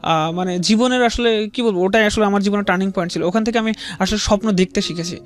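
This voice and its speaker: A man in his twenties with a Bangladeshi accent, telling his life story as an interview guest in a halting, deferential, repetitive way. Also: high-pitched. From rural Bangladesh.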